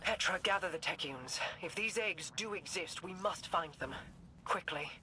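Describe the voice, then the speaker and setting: A woman playing a regal, stern queen in a video game, composed and commanding, with a measured, formal way of speaking. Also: on the low side, background noise, close to an everyday voice. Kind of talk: dramatic video game acting.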